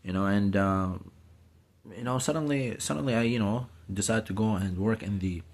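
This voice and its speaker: Man, late 30s, from Syria, deep voice, husky, rambling vlog to phone camera, small room. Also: normal speed, a little mellow. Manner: rambling, many fillers and restarts, indignant. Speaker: vlogger. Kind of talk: casual rambling monologue. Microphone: phone camera held close.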